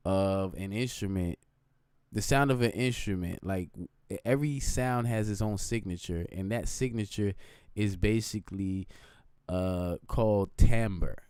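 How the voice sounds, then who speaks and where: A man with a deep, low voice talking informally in a casual, rambling livestream monologue, often checking in with his listeners. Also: warm tone, clean tone, no echo, clean studio sound. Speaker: live streamer.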